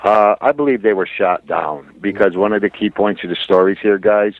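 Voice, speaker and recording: Deep-voiced man on a tinny phone line. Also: moderate pace. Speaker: interview guest, researcher and author. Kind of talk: casual conversational explaining. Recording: thin, narrow phone-like line.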